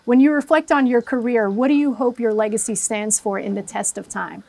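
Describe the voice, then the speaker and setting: A woman's voice: a little high, steady tone, a little fast, creaky, no room sound. A woman from East Africa whose first language is not English, hosting an interview with affirming "mm-hmm"s and thoughtful questions. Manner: warm affirming, frequent "mm-hmm". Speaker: interview host.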